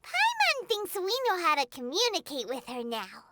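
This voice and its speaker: A woman voicing a small, childlike fairy companion in a video game, squeaky, curious and blunt. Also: wildly swooping pitch, normal speed, sharp tone, no echo, full character voice. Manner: speaks of self in third person.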